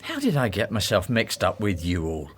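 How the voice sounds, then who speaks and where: A man's voice: fairly low pitch, wildly swooping pitch, normal speed, a little hiss or hum. A man acting in a radio drama as a cynical, worldly disciple who jokes sardonically and grumbles. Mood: scornful, grumbling.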